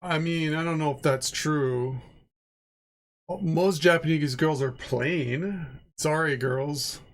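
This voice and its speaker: A man's voice: fairly high pitch, takes it slowly. A man with a stuffed-up, nasal voice chatting casually and rambling while he draws on a livestream, swearing now and then. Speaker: art streamer.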